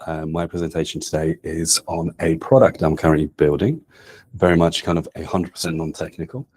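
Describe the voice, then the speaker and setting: A man's voice: a bass voice, steady tone, a little fast, darkish tone, breathy edge. A man in his forties giving a casual, chatty presentation to an audience in a meeting room, speaking into a handheld microphone and often checking in with "right?". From not Australia. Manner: casual and chatty, with many "um"s and tag questions ("right?"). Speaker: presenter at a community meetup.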